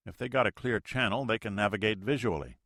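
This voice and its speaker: A man's voice: fairly low pitch, fast talker, fairly bright tone, dry recording, clean studio sound. A man reading an audiobook, voicing a naval communications officer who asks questions. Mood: puzzled.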